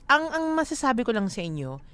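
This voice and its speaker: Animated, high-voiced Filipino woman giving advice. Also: a little fast. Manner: emphatic, punctuates points with rhetorical questions. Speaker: radio advice show host. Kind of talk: conversational relationship advice, Tagalog-English code-switching.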